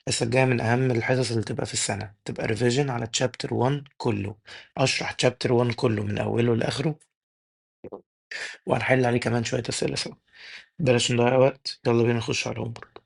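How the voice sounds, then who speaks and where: A young man with an Egyptian Arabic accent tutoring exam chemistry, his voice worn and going hoarse, firing questions at the class and answering them himself. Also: medium pitch. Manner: rapid drilling, asks and answers his own questions. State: losing his voice. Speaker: chemistry teacher. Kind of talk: exam-prep tutoring lesson.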